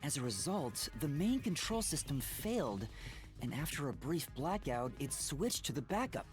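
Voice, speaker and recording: A woman's voice: low voice, very animated, normal speed, soft background music. A woman voicing an earnest, anxious teenage boy detective who explains carefully, in an anime-style video game. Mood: earnest, reasoning.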